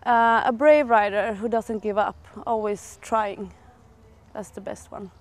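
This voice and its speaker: A woman's voice: mid-range voice, steady tone, measured pace. A woman who trains a national riding team, answering briefly and cheerfully in an interview.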